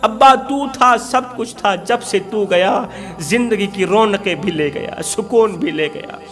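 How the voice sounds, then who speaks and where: A man's voice: high voice, a little slow, a bit bright, soft background music. A man voicing a grieving, hungry six-year-old orphan boy in a sermon story, lamenting plaintively in repeated pleas. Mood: grieving, pleading.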